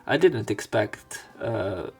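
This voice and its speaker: A man reading visual novel text aloud as a let's play narrator, breaking off into halting, wry casual asides. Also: on the low side, expressive, breathy edge. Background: faint game music.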